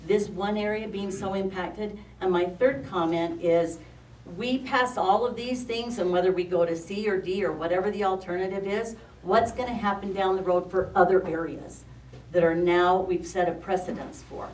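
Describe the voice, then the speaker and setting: An older woman with a loud voice giving an emphatic, frustrated public comment at a county meeting. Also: mid-range voice, steady tone, normal speed. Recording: echoey room, distant mic, faint noise.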